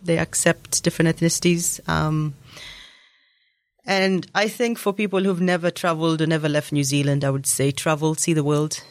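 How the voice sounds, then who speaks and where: A woman's voice: deep voice, steady tone, moderate pace, dry recording. A woman in her thirties, originally from Kenya, thoughtfully telling her story in a casual interview with frequent ums. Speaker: interview guest.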